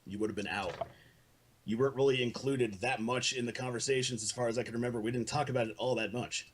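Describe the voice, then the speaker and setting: A man's voice: mid-range voice, steady tone, fast talker, a little hiss or hum. A man arguing informally, earnest and blunt, swearing freely as he makes his case. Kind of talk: heated informal argument.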